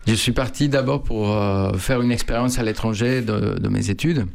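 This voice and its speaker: Man, flat gravelly voice, halting answers, dry sound. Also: on the low side, no echo. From Mexico. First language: Spanish. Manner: halting, many fillers and restarts. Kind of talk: hesitant interview answers.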